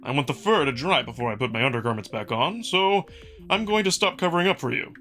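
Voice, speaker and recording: A man's voice: mid-range voice, lively intonation, fast, sharp tone, faint music behind. A man playing a playful, competitive young wolf prince in a visual novel, with a teasing, cheerful and self-assured manner. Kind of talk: visual novel character acting.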